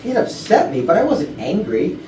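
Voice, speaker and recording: A woman playing a gentle, oblivious, lovesick male office worker in a staged comedy reading, stammering earnestly. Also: fairly low pitch, very animated, moderate pace. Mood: pleading. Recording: distant mic, echoey noisy room.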